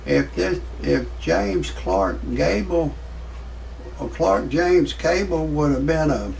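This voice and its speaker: A man in his sixties giving rambling, off-the-cuff commentary in a folksy, repetitive, halting way, as an amateur video host. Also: on the high side, vocal fry, a little mellow, distant mic, slight background noise, poor audio. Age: 60s.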